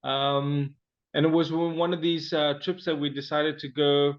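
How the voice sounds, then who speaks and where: A man's voice: fairly high pitch, flat delivery, a little slow, dull audio. A man from Zimbabwe, an interview guest, telling his personal story with frequent fillers and restarts.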